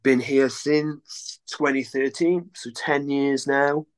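A man's voice: mid-range voice, fairly even intonation, slow, smooth. A Welsh man in his thirties, a musician chatting casually in an interview, rambling and self-deprecating with lots of fillers. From North Wales. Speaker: guest musician.

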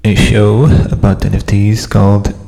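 A man's voice: on the low side, monotone, moderate pace, creaky, dark tone, big-room sound, slight background noise. A man in his thirties from California, co-hosting a podcast in a hesitant, casual chat with frequent laughs. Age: 30s. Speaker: podcast co-host.

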